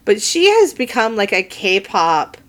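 A woman's voice: mid-range voice, sing-song, slow pace, fairly bright tone. A woman with a Southern American accent, co-hosting a podcast in giggly, teasing casual chat. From Southern United States. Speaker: podcast co-host.

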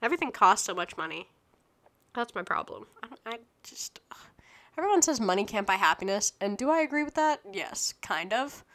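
A woman's voice: mid-range voice, big pitch swings, fairly quick, fairly bright tone. A woman in her early twenties hosting a solo podcast, chatting in a scattered, self-interrupting way and losing her train of thought. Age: early 20s. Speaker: podcast host. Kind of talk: rambling solo podcast chat.

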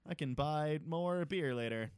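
A man's voice: fairly high pitch, a little slow, darkish tone, clean tone, dry recording, studio quality. A young man co-hosting a casual podcast, talking loosely, swearing a lot and laughing often. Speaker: podcast co-host. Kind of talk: casual chat.

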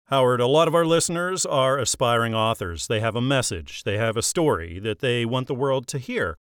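A man's voice: medium pitch, lively intonation, moderate pace, fairly bright tone, clean tone, no room sound, studio quality. A man hosting an author interview show in a polished radio style, with an upbeat announcer's delivery.

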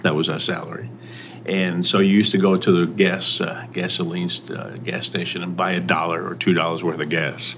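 An older man who grew up in Mexico, telling his story in a relaxed interview, halting and often trailing off. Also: deep voice, noisy background. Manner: halting, many pauses and restarts, soft trailing phrases. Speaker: restaurant owner. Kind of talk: casual interview.

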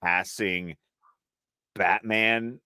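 A man's voice: on the low side, steady tone, extremely slow, pushed and bright, clear, resonant tone, no echo. A man co-hosting a casual commentary stream, stressing his points emphatically and often repeating phrases. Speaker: co-host of a cartoon episode review stream.

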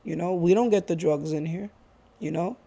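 An earnest man from the Bronx, somewhere in his thirties, talking through his views in a loose, conversational way. His voice sits high for a man yet sounds mellow and soft-edged. He restarts sentences often and repeats himself for emphasis. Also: moderate pace, vocal fry. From Bronx, New York. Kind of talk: casual chat.

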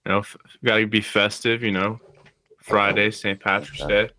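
A young man chatting on a podcast, relaxed and laid-back with short answers. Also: fairly low pitch, normal speed, poor audio. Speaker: podcast co-host, student. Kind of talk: casual chat.

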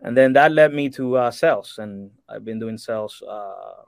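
A man's voice: on the low side, moderate pace, gravelly, dry recording. Earnest man from Cuba, dry close sound. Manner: earnest, many fillers and restarts. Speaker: guest, real estate broker. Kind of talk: podcast interview.

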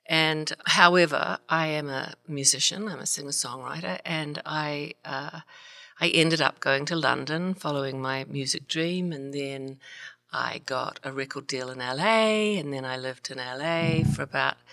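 A chatty woman with a New Zealand accent and a bright, forward tone, telling stories with frequent laughs. She sounds close and dry, as if right on the mic. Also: low-pitched, creaky, dry recording. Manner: laughs often, chatty and self-amused. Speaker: guest, singer-songwriter. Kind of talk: casual round-table storytelling.

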